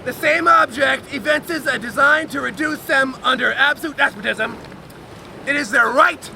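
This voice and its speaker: A man giving a loud, dramatic, emphatic reading of a historical document outdoors, with deliberate phrasing. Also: extremely high pitch, creaky. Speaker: reader at an outdoor public reading. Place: outdoors on a lakeside beach. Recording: outdoor crowd and motorboat noise.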